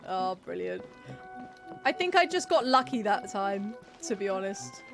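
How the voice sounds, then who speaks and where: A woman's voice: medium pitch, big pitch swings, a little slow, creaky. A woman doing casual live game commentary, with jokey, informal asides. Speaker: game streamer. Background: game music.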